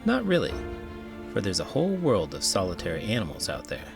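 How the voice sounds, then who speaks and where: A man reading a children's picture book aloud in an expressive, dramatic way, as a host would for young listeners. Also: lowish voice, brisk, vocal fry, music in the background. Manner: expressive, dramatic delivery with big emotions. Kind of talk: animated read-aloud narration for children.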